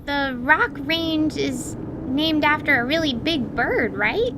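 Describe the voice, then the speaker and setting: A woman's voice: high-pitched, expressive, slow, background noise, pitched above the performer's own voice. A woman voicing an eager, childlike young female adventurer in an audio drama, with breezy, naive, casual phrasing. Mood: curious.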